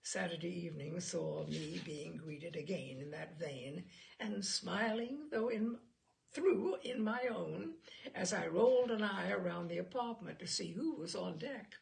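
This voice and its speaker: Woman reading a funny short story, swoopy expressive pitch, gravelly creak, kinda wry and theatrical. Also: a little slow. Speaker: reader of humour stories.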